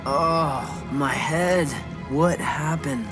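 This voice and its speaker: A man's voice: a little high, very slow, over loud music. An actor playing a cocky, sardonic teenage tough guy in an offhand video game cutscene style. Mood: groggy, grumpy.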